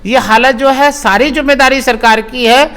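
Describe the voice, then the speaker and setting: A man's voice: very high voice, steady tone, normal speed, sharp tone, clean tone. A man speaking as an interviewee in impassioned political commentary, with an emphatic, indignant, repetitive way of talking. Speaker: interviewee commenting on crime and government.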